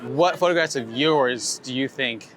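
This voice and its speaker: A man's voice: medium pitch, a little slow, fairly bright tone. A man hosting a casual interview, putting questions in a conversational way. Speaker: host interviewer. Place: outdoors on a city street. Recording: outdoor city street with traffic noise.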